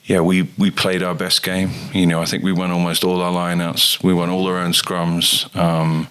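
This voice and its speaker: A rugby coach talking casually as a guest, with many ums and pauses. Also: male voice, low voice, clear, resonant tone. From England. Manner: hesitant, many ums, relaxed. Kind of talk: casual interview chat.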